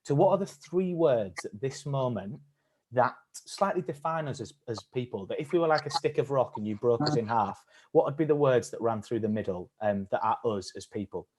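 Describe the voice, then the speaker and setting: A man's voice: mid-range voice, animated, brisk, a little mellow, slight background noise. A man leading an online acting workshop, encouraging and upbeat as he coaches the participants. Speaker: workshop leader. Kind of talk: live-streamed acting workshop.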